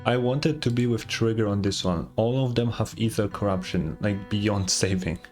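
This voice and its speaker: A man's voice: lowish voice, moderate pace, darkish tone, soft background music. A young man, a gaming streamer, giving casual playthrough commentary and reading story text aloud.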